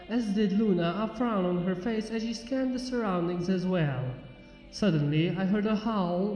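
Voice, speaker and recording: High-voiced man narrating, drenched in reverb. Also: dark tone. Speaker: fan fiction narrator. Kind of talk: scripted story narration. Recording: muffled, echoey long reverb under music. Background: music.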